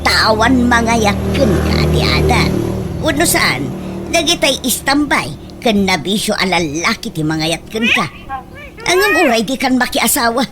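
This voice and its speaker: A woman's voice: on the high side, very animated, a little slow, over music. A woman playing a harsh, belittling middle-aged mother in a comedic radio drama, scolding in a scornful, nagging way. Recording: loud background noise.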